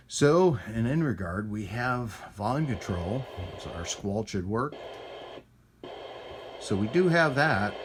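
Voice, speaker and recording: Low-voiced man rambling over background noise. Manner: rambling, hesitant, talks to himself while working. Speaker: host of a radio repair video. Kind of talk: casual hands-on tech vlog, thinking aloud. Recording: clear background noise around the voice.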